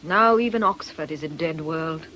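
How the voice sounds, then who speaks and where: A woman's voice: low voice, normal speed, sharp tone, slight background noise, low-quality recording. A woman playing an ailing, middle-aged fortune teller in a radio drama, scornful and theatrical. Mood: bitter. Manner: world-weary, scornful, declamatory.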